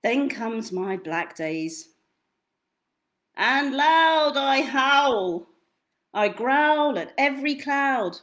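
A young woman reading picture books aloud to children in an expressive, theatrical way, drawing out key words. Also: high-pitched. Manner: theatrical, deliberate, drawn-out delivery of key words. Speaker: children's storytime reader. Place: small indoor room at home.

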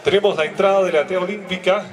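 A man's voice: high voice, steady tone, quick pace, a crowd in the background. A man with an Ecuadorian accent announcing a ceremony as its host. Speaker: master of ceremonies. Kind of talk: event announcing. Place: outdoor sports ground.

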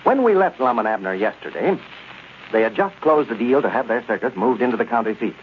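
A man's voice: medium pitch, animated, a little fast. A man reading scripted copy as an announcer in the style of 1930s radio. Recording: tinny, noisy 1930s radio recording.

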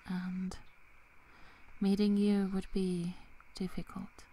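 A woman playing a loyal, protective young werewolf in a whispered ASMR roleplay, speaking softly and haltingly. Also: mid-range voice, level tone. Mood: reluctant, resigned. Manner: soft, halting, breaking into whispers.

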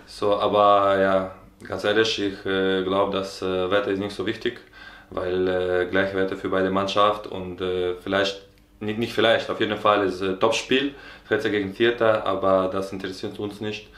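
A man's voice: low-pitched, level tone, normal speed, a bit bright. A young man from Ukraine with a Ukrainian accent, a football player answering an interview in hesitant, broken German. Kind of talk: press interview. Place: indoor stadium media area, sponsor wall. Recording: echoey room.

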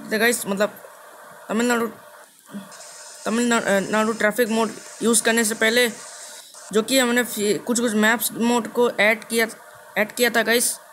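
A young man giving casual gameplay commentary as a video host, halting and repeating his phrases as he goes. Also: very high-pitched, fairly bright tone. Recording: clearly noisy game capture.

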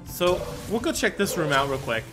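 A man giving let's play commentary, thinking aloud, reading item text and breaking into quick excited exclamations. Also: high-pitched. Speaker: gaming channel host. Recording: game music playing underneath. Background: game music.

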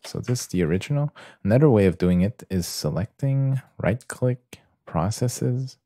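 A man presenting a casual software tutorial in a hesitant, halting way with many pauses. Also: lowish voice, animated, dry recording, clean studio sound, faint music behind.

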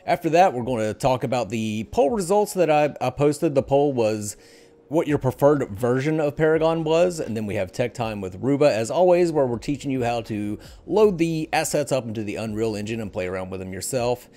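A man's voice: medium pitch, animated, brisk, dark tone. A middle-aged man hosting a casual gaming podcast in an informal, jokey way. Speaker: podcast host. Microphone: headset.

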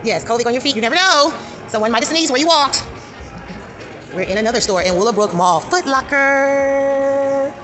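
A woman's voice: high-pitched, animated, normal speed. A woman giving an upbeat, repetitive running commentary while filming a friend shopping in a large indoor mall. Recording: loud music and mall crowd noise.

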